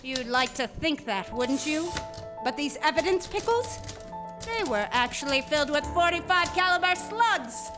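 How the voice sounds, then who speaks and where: A woman's voice: sits high, expressive, normal speed. A woman playing a scheming, scornful femme fatale in a parody of 1940s noir radio, with sardonic, melodramatic delivery. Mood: defiant, gloating. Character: scheming, scornful female femme fatale, brilliant but conniving murderous widow. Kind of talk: parody 1940s noir radio acting, alternating narration and dialogue. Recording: echoey live stage, distant mic, music.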